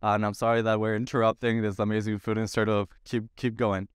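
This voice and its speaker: Friendly low-voiced man on a panel. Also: flat delivery, fast, clear, resonant tone, no room sound, clean studio sound. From Ecuador. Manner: friendly, jokes with the audience. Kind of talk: panel talk. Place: stage in a large event hall. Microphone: handheld mic.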